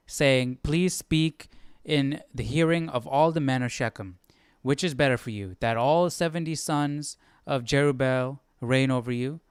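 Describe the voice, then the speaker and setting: A man with a smooth, mid-to-low voice hosts a casual study stream. He reads bits aloud and puzzles over them out loud, recorded close and dry. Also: no echo. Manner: thinking aloud, self-questioning. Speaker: live stream Bible study host. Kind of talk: casual study commentary, reading aloud and reacting.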